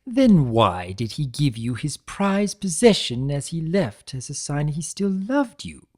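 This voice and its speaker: A man voicing a theatrical chaos spirit for a dramatic story reading, taunting yet sympathetic, with emphatic, drawn-out phrases. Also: on the high side, very animated. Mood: taunting, scolding.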